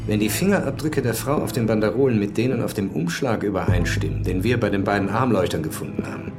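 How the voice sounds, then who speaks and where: An actor playing a composed, determined police commissaire in a German-language radio drama. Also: male voice, on the low side, animated, fast talker, over music, natural voice.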